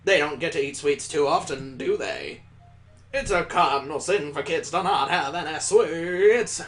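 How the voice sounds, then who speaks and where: A man's voice: fairly high pitch, measured pace, fairly bright tone, faint music behind. A man voicing a jovial, folksy male baker who dotes on children, in a visual novel read-through. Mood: warm, insistent.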